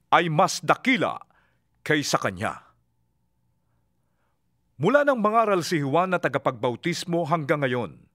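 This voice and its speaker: A solemn holy preacher in a scripture audio drama. His voice sits high and rings out brightly, with deliberate, declamatory phrasing. Music plays behind his fervent, authoritative words. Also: dry recording, studio quality, heavily acted. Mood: solemn, challenging.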